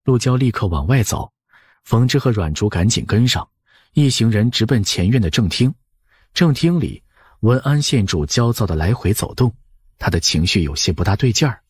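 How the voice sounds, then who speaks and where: A man's voice: on the low side, animated, normal speed, breathy edge, no room sound, studio quality. A man narrating an audiobook in a scripted storytelling style.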